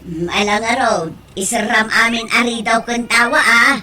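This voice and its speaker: A woman's voice: fairly high pitch, fairly even intonation, normal speed, very noisy, close to an everyday voice. A woman playing a nagging, exasperated mother in an Ilocano comedy radio drama, scolding and exclaiming. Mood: wary.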